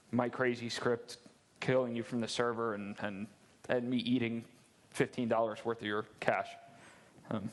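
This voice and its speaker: A man in his thirties fielding audience questions at a convention talk on a headset mic, candid and joking, often restarting his words. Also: medium pitch. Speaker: security presenter at a game convention. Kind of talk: conference Q&A answers. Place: large dark convention stage.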